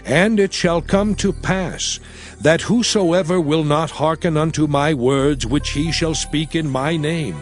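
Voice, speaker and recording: Male Bible narrator, slightly high voice, solemn and unhurried, soft music behind. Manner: solemn, measured. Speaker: scripture narrator. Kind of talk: dramatized scripted Bible reading.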